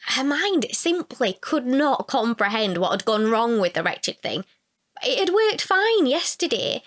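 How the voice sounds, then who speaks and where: A woman's voice: a little high, big pitch swings, unhurried, fairly bright tone, no room sound. Woman narrating a short story, dry sound.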